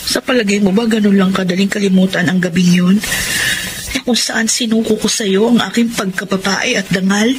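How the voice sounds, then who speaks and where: An actress playing a gentle, lovelorn young woman in a Filipino radio drama, narrating and confessing with shame and longing. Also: medium pitch, steady tone, brisk, noisy background, natural voice. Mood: ashamed, hurt. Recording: loud music under the voice.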